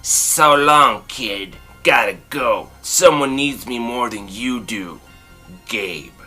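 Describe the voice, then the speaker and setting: A woman voicing a gruff, mocking but fond male monster in a children's storybook reading, with a slow, scoffing delivery over music. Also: a bass voice, pushed and bright, pitched below the performer's own voice, exaggerated voice. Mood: brusque farewell. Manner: snorting, scoffing, drawn-out delivery.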